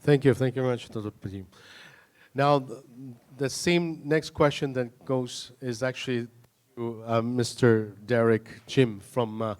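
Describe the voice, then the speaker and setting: A man with a middle-pitched voice acts as moderator of a panel. He introduces speakers and puts questions to them politely. His phrasing is halting, built up piece by piece. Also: steady tone, darkish tone.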